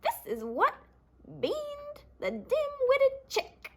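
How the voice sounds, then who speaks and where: Woman playing a sly, greedy, high-voiced fox villain. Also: huge pitch swings, very slow, creaky, a bit bright, exaggerated voice. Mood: sneering, triumphant. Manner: gloating, sneering. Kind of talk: children's storybook read-aloud.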